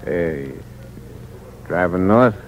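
An actor playing a bitter, weary young ex-convict in a 1940s radio crime drama, with a wry, slangy, hard-boiled manner. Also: male voice, low-pitched, expressive, drawn-out words, full character voice. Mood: guarded, casual. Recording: dull, noisy old radio recording.

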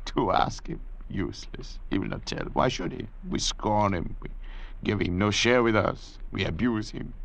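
A man playing an arrogant, intellectual doctor cast away at sea in old radio drama style, lecturing theatrically in a gravelly voice. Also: on the low side, full character voice. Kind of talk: 1940s radio drama acting. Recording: old radio recording with faint hiss.

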